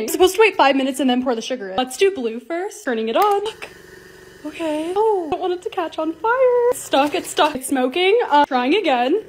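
A young woman with a slightly raspy voice, narrating her home projects in a chatty, excitable vlog voice-over. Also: sits high, slight background noise. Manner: chatty, excitable, quick asides. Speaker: lifestyle vlogger.

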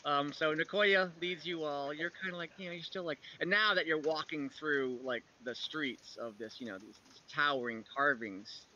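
A man casually narrating an online tabletop game as game master, with an animated, giggly manner. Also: fairly high pitch, normal speed, few pauses, slight background noise. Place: indoor room on a group video call. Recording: dull lo-fi call audio.

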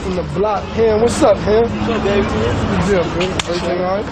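A man's voice: sits high, steady tone, moderate pace, over a noisy crowd. A young man with a New York accent, a rapper talking casually and slangily on a city street. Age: young adult. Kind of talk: casual street talk on camera.

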